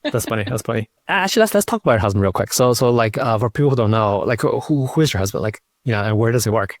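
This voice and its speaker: A man with a slightly low voice talks quickly as a podcast host, recorded close and dry. His delivery is informal and halting, with repeated words in a casual chat style. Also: expressive, no echo. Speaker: podcast host interviewing a guest.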